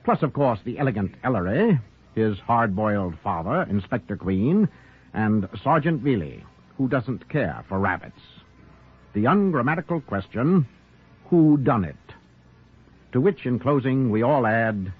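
Male vintage radio drama host, wry, theatrical, husky, animated scripted delivery. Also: medium pitch, unhurried. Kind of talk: 1940s radio announcing, scripted. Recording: narrow-band vintage radio recording.

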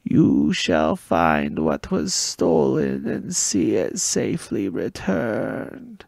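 A man voicing an ancient female oracle spirit, raspy and slithering, in an audiobook. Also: mid-range voice, huge pitch swings, very slow, a little mellow, no room sound, studio quality, full character voice. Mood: ominous.

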